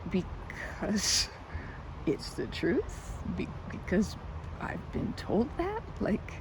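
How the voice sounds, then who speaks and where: A woman's voice: mid-range voice, huge pitch swings, drawn-out words, background noise, heavily acted. A woman playing a timid, nervous young woman in an ASMR roleplay, stammering, repeating words and rambling in quirky, formal phrasing. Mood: apologetic, shy. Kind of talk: ASMR roleplay, one-sided audio drama.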